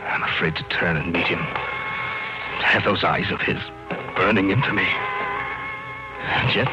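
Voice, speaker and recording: Haunted, frightened man, low and slow, vintage radio horror melodrama, muffled old broadcast sound. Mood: terrified, desperate. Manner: dramatic, dread-filled. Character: frightened haunted man reading out his last diary entry. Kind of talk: 1940s radio horror acting. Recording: dull old radio recording, loud music behind.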